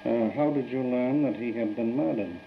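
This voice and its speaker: A gravelly, medium-pitched man interviews in a formal, stilted way. He is heard on an old 1930s newsreel track, muffled and faintly noisy. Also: moderate pace.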